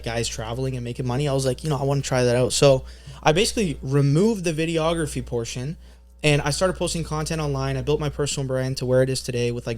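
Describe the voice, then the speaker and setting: A young man from Canada, a podcast guest, telling stories in relaxed slang with plenty of fillers. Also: medium pitch. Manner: laid-back storytelling with lots of fillers and slang. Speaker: podcast guest, marketing agency owner. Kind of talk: casual podcast chat.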